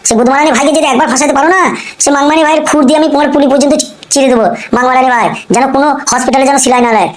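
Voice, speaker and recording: A woman's voice: sits high, fairly even intonation, rapid-fire, hardly any pauses, natural voice. A woman voicing a short-tempered, foul-mouthed male gangster boss in a comic cartoon, blustering and repeating curses. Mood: menacing. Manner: blustering, curse-laden, repetitive. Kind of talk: amateur comic cartoon dialogue.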